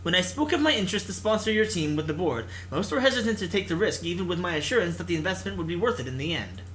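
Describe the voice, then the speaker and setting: Man playing a stern, bright-voiced corporate executive. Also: high-pitched, lively intonation, a little hiss or hum. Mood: cold, disapproving. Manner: formal, measured, cutting.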